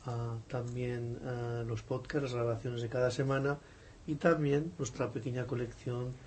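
A man's voice: medium pitch, little pitch movement, a little slow, darkish tone. A man hosting a podcast interview, talking in a digressive, hesitant way with many fillers. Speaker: podcast host. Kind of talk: conversational interview. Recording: faint background noise.

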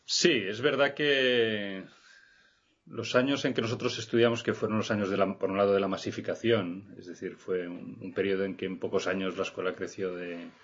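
A middle-aged man from Barcelona, guest on an interview podcast, speaking in a measured, hesitant way with many restarts. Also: on the low side, fairly even intonation, fairly bright tone, breathy edge. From Barcelona, Spain. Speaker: guest architect. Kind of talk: reflective interview talk. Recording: slightly muffled podcast recording.

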